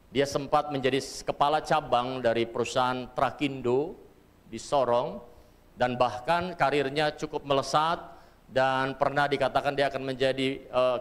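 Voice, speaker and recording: A man's voice: medium pitch, clean tone. Middle-aged male preacher from Papua, earnest testimony, resonant voice, big hall echo. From Papua, Indonesia. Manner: earnest storytelling, addresses congregation directly. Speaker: pastor. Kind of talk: sermon with personal testimony. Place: church hall. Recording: long church hall reverb.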